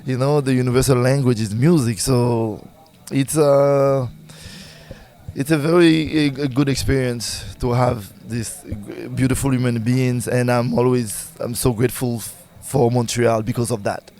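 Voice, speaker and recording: Haitian-accented man, halting and conversational. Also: medium pitch, fairly even intonation, dark tone. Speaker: musician, singer and bandleader being interviewed. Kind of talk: casual interview conversation.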